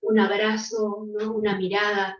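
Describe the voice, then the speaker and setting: A woman's voice: mid-range voice, level tone, a little slow, dull audio. Older woman, distant and roomy. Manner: gentle, reflective, trails off with "¿no?". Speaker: panelist. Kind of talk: reflective conversational talk.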